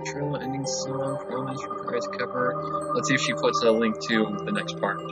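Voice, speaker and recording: A man reacting to a story, excitable and thinking out loud, swearing now and then. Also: mid-range voice, normal speed, loud music behind the voice. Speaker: reaction video host. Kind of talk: casual reaction commentary. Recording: dull lo-fi home recording.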